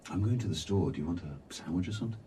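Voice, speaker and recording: A man's voice: low voice, expressive, rapid-fire, mellow, a little hiss or hum. A man playing a mild, supportive colleague in casual, reassuring dialogue for a game. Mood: mild, apologetic. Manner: apologetic, reassuring.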